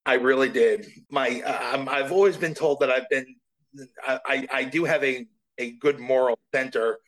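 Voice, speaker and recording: A man's voice: mid-range voice, moderate pace, fairly bright tone, rough recording. A man from South Jersey, a voice actor guest, chatting humbly and rambling with restarts in an interview. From South Jersey, USA. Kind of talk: casual interview chat.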